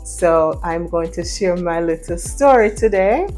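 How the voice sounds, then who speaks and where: Slow-spoken Jamaican woman, emphatic and preachy. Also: on the low side, animated, darkish tone, soft background music. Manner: preachy, emphatic, repeats phrases for stress. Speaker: vlogger. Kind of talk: earnest talk to camera. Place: her home.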